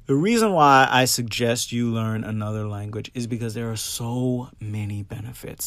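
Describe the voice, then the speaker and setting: A man's voice: on the low side, no room sound. A man from the Bahamas hosting a solo podcast, talking casually and emphatically and often checking in with his listeners. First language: English. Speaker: podcast host. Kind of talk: personal solo podcast talk, casual and conversational.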